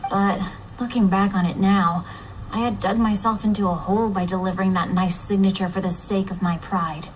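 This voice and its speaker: A woman's voice: medium pitch, steady tone, moderate pace, slight background noise, lightly acted, low-quality recording. A woman voicing an earnest, formal and easily flustered young male novelist in a fantasy game, with polite bookish phrasing. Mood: sheepish, earnest. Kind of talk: animated game voice acting.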